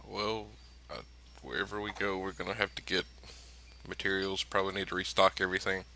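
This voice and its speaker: A man's voice: deep voice, little pitch movement, fairly quick, sharp tone, slight background noise. A man talking casually as a player in a tabletop role-playing game.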